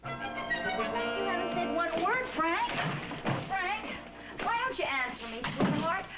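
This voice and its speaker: An actress playing a flustered young wife who talks in a chatty, pleading rush. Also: female voice, very high-pitched, wildly swooping pitch, slow pace, exaggerated voice, rough recording. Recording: old TV sitcom with music behind.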